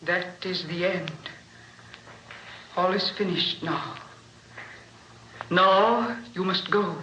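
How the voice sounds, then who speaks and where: An actress playing a solemn, doomed ancient sorceress in a 1940s radio drama, speaking in an archaic, declamatory way. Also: female voice, lowish voice, extremely slow, pushed and bright, a little hiss or hum, exaggerated voice. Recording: lo-fi echoey old radio broadcast.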